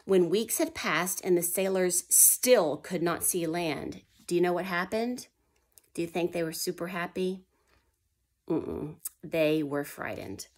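A woman with a fairly low voice reads history aloud to young children. She asks them questions and answers them herself. She drops to a whisper for the tense parts. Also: measured pace, a little mellow. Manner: pitches up for dramatic quoted lines. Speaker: homeschool history teacher.

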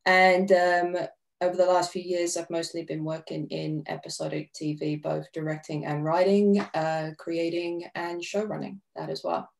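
Female panelist, low and a bit gravelly, upbeat, casual video-call chat. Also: level tone, normal speed. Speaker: writer-director panelist. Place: home room, video call.

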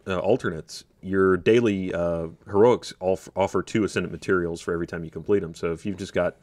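A man's voice: low-pitched, fairly even intonation, a little fast, a little mellow. A man in his thirties giving casual, opinionated gaming talk as a co-host on a studio microphone. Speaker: podcast co-host. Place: podcast studio. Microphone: desk studio microphone.

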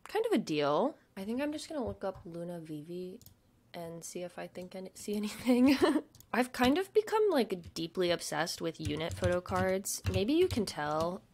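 A young woman with a stutter, chatting casually at her desk about shopping, with lots of fillers and soft murmured asides. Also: medium pitch, very animated, normal speed. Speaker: vlogger. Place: home desk.

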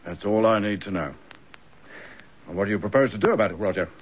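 An actor playing a loyal, anxious British detective inspector in a radio drama, earnest and direct. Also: male voice, on the low side, animated, fast talker, breathy edge. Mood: earnest, helpful. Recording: slightly dull radio drama recording.

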